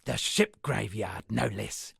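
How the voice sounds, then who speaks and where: An actor playing a self-satisfied town poet and storyteller in a video game, speaking in a florid, dramatic manner with a gravelly, husky voice. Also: male voice, on the low side, slow pace, no echo, studio quality, heavily acted. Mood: ominous storytelling. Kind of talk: theatrical game dialogue with declaimed verse.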